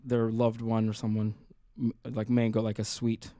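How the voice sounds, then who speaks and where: Sudanese-born man, relaxed, dry studio. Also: on the low side, normal speed, no echo. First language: Arabic. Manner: relaxed, thoughtful, hesitant phrasing with fillers. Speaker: musician guest. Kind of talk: casual radio interview. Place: radio studio.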